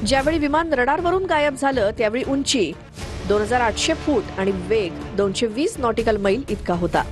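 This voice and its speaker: A woman's voice: a little high, lively intonation, moderate pace. A woman reading a scripted news narration. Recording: voice-over with prominent music bed. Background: background music.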